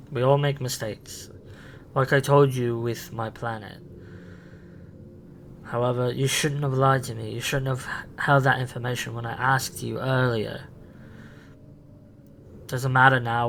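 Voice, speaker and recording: A man playing a weary, haunted time-travelling alien adventurer and mentor in a sci-fi roleplay, speaking earnestly with halting, emphatic phrasing. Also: mid-range voice, fairly bright tone, slight background noise. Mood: forgiving, firm.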